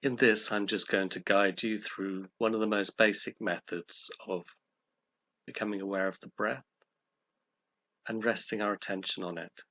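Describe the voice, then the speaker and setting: A man leading a guided meditation, speaking in a calm, gentle, measured way with pauses between phrases. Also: on the low side.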